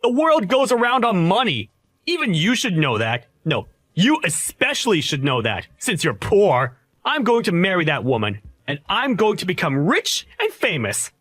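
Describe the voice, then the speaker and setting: A shrill, sneering young bully of a boss, as a man puts him on, his voice shooting high and swinging wildly as he hurls insults. The sound is bone-dry and close-miked, with no room at all. He is loud, contemptuous and full of himself. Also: huge pitch swings, moderate pace, no echo, full character voice. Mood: smug. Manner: yelling insults. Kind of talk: voiced story drama.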